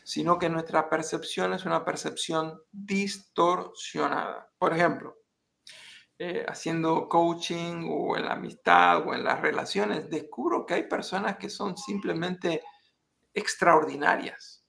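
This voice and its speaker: A man who works as a life coach, talking in a measured, reflective way and posing questions to the listener. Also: a little high, creaky, bright, forceful tone. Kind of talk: reflective motivational talk.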